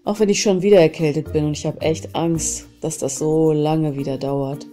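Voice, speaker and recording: Low, mellow-voiced woman guiding tapping. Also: moderate pace, faint music behind. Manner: calm, repeats phrases and calls out tapping points. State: has a cold. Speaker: self-help channel host. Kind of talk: guided EFT tapping session.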